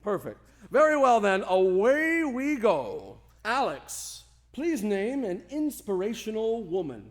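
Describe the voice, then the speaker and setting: Male radio show host: high-voiced, animated, grandiose and playful. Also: slow pace. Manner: grandiose, playful, formal introductions. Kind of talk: theatrical showman hosting.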